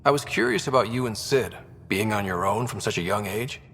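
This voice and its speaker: An actor playing a young explorer and team leader in a video game, husky, easygoing and curious. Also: male voice, lowish voice, a little fast, fairly bright tone, in a large hall, slight background noise. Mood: curious, gentle. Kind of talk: video game character acting.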